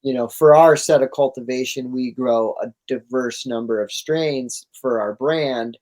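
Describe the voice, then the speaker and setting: A man's voice: medium pitch, unhurried, a little mellow. A man talking casually and enthusiastically as an interview guest, in laid-back, slangy language. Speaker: interview guest, cannabis grower.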